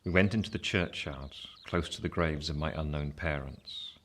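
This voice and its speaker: An actor playing a grown gentleman looking back on his life, narrating a radio play in a measured, reflective way. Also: rumbling low. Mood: solemn, tender. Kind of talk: scripted first-person narration.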